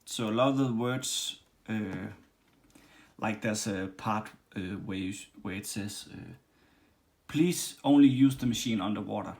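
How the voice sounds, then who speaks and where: A man from Denmark with a Danish accent, casually demonstrating and reviewing a leather machine, with many pauses and restarts. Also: on the low side, gravelly, darkish tone. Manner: halting, many ums and restarts. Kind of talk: casual workshop demo. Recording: faint background noise and clicks.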